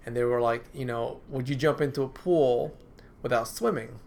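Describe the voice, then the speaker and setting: A man's voice: mid-range voice, steady tone, normal speed, darkish tone. A man who is a counselor, talking casually to camera from his office, often adding "right?" and repeating himself. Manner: conversational, repeats phrases, asks "right?" often. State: dry throat, clearing it. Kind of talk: informal talk to camera.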